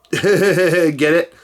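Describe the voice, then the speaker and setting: A man in his thirties hosting a casual chat from a cluttered room at home. He rambles and jokes, and he is getting over a cold. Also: very slow. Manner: rambling and jokey, with sudden jumps in pitch. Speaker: host.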